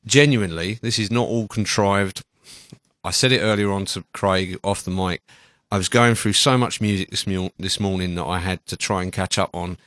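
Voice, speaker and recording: A man hosts a radio show in a low voice. He chats casually and enthusiastically, rambling as he goes. The studio sound is close and dry. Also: normal speed, fairly bright tone, no room sound.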